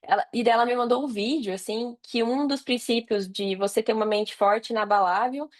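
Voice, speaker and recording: A woman with a fairly high voice and a Brazilian accent speaks as a podcast guest. She gives casual advice, informal and full of personal anecdote. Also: brisk. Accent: Brazilian Portuguese.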